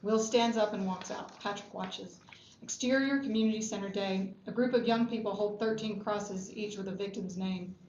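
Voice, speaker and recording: A dull, echoing room recording of a woman narrating. She reads scripted stage directions at a table read, with a mid-range pitch. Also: fairly even intonation, normal speed, darkish tone. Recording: dull, echoey room with background noise.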